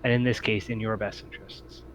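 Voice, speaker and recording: A man's voice: on the low side, level tone, fairly quick, noisy background. A man acting as game master, improvising tabletop game narration in a hesitant, explanatory way.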